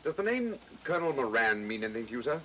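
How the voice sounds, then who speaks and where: A bright, forceful English gentleman detective, talking briskly with assured, probing crispness. It is in the manner of a 1940s mystery film, on a slightly noisy old soundtrack. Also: medium pitch, very animated. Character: sharp, self-assured male English detective, coolly probing.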